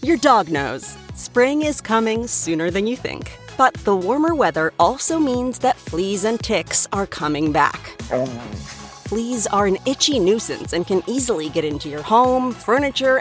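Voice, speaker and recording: A woman's voice: medium pitch, very animated, measured pace, music in the background. A woman delivering a scripted commercial voice-over as an announcer. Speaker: advertisement announcer.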